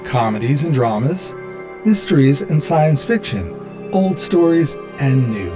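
Animated male announcer over music. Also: mid-range voice, unhurried. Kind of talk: scripted radio theater promo.

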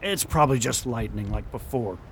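A man's voice: medium pitch, expressive, a little fast, a little hiss or hum, lightly acted. A man playing a level-headed deputy in a radio drama, speaking cautiously and matter-of-factly. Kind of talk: amateur radio drama acting.

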